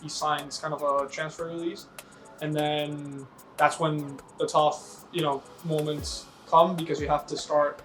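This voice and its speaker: A man in his early twenties from Venezuela with a Venezuelan Spanish accent, Spanish his first language, explaining things fluently with "you know" fillers in a documentary interview. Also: fairly high pitch. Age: early 20s. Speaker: university soccer player.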